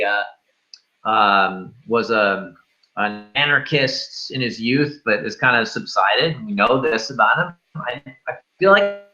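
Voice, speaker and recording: A man's voice: mid-range voice, pushed and bright. A man in a casual movie discussion, thinking aloud with hesitations, on a somewhat muffled recording. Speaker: film review show panelist. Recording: slightly dull, limited-band sound.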